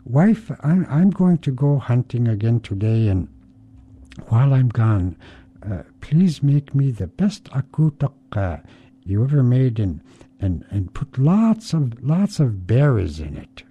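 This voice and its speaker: A man putting on a high, stammering trickster raven. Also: sing-song, a little slow, breathy edge, phone-quality sound. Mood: eager. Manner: eager, stammering and wheedling. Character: lazy, tricky male raven, a smooth-talking husband.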